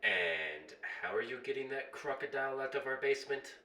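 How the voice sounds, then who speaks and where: Echoey, a little off the mic, a man plays a nervous, put-upon barbershop worker in a radio drama. He is sarcastic and incredulous, with a bright edge to his voice. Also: medium pitch, lively intonation, normal speed. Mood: incredulous, wary. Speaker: actor in a radio play. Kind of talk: radio play acting. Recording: roomy, echoing, slightly distant mic.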